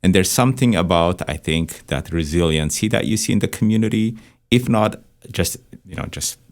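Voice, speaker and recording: A man, a counselor reflecting aloud in conversation in a discursive way. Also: sits low. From Ethiopia. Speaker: church counseling director and therapist, panel guest.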